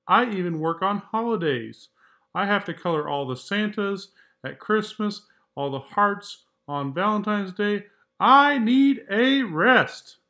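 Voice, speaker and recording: A man voicing an overworked, exasperated red crayon from a storybook, complaining with drawn-out emphasis. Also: high voice, sharp tone, exaggerated voice.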